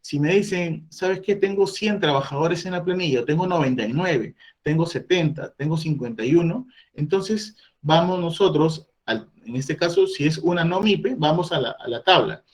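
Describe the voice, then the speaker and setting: Guy, kinda high voice, walking through inspection rules like a trainer. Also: moderate pace, few pauses. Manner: explanatory, acts out employer questions. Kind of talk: online training presentation.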